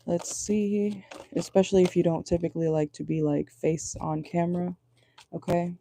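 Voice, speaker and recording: A woman with a low voice giving a casual tarot reading from home, chatty and rambling, often checking in with her viewers. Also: level tone, normal speed, vocal fry, dark tone, slight background noise. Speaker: tarot reader. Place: at home indoors at night.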